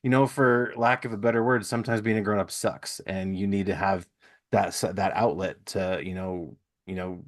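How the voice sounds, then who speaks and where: A man with a low-pitched voice hosts a relaxed conversation. He speaks informally and agreeably, like someone chatting casually with a guest on a podcast. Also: normal speed. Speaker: podcast host. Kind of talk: casual interview chat.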